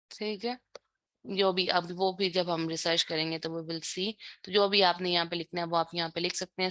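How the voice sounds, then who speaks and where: A woman giving a live software lesson, explaining step by step, often checking understanding and mixing in English terms. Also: fairly low pitch, dry recording. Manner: mixes English terms and phrases into speech. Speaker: online tutorial instructor.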